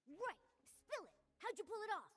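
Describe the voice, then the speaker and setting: A woman voicing a tiny, excitable talking cat companion in an anime-style game, with a squeaky voice. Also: moderate pace, full character voice. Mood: shocked, indignant.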